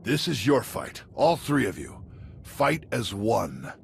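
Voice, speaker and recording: An actor playing a thoughtful old master warrior in a video game, deliberate, courteous and grave. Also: male voice, mid-range voice, sing-song, creaky, slight background noise, heavily acted. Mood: resolute. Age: older.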